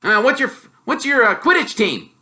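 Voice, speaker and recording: A man voicing an excitable, blunt young wizard boy who blurts loudly and is easily made gloomy. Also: very high voice, normal speed, voice pushed up, exaggerated voice. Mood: amazed, excited. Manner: loud, blurting, animated.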